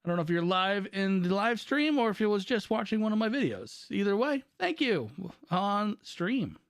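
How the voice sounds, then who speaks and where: A man's voice: high-pitched, big pitch swings, normal speed, dry recording, studio quality. A man with a voice worn from overuse, rambling casually through live gameplay commentary and often correcting himself. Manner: rambling, self-correcting chatter. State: losing his voice. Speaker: live streamer.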